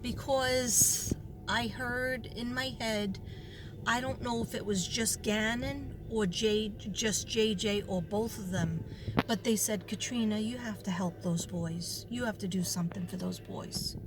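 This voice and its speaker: A hoarse woman talking casually to her viewers in a rambling way, full of fillers and restarts. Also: mid-range voice, unhurried, noisy background. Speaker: psychic reader.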